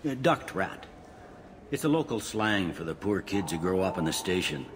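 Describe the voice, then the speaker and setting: An actor playing a matter-of-fact, world-weary police officer in a video game, briefing in a dry, informal, clipped way. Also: male voice, low-pitched, big pitch swings, normal speed, background noise. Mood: grim, weary.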